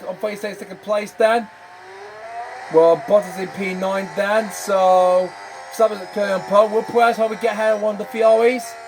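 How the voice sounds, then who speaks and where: High-voiced man commentating a racing game. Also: background noise. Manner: repetitive, says "then" and "I'm afraid" constantly. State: losing his voice. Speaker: gaming channel host.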